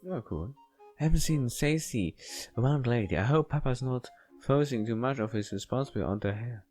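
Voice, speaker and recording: Halting man reading a game aloud over music. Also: medium pitch, darkish tone. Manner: halting, stumbling and rereading lines. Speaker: let's player reading a visual novel aloud. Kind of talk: casual gameplay read-aloud commentary.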